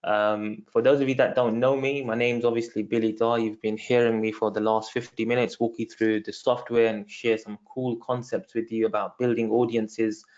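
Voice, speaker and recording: A man's voice: medium pitch, level tone, normal speed, hardly any pauses, darkish tone, dull audio. A man from London with a London accent, hosting a live online marketing training, casual and full of fillers. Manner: casual, many fillers, talks to viewers as guys. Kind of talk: live webinar sales training.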